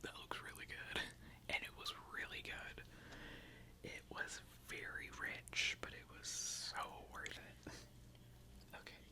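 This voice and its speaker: A woman's voice: slow, pauses often. Giggly whispering woman, close mic. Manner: soft whisper, giggly and chatty. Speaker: ASMR creator. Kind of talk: whispered ASMR chat. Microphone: close microphone.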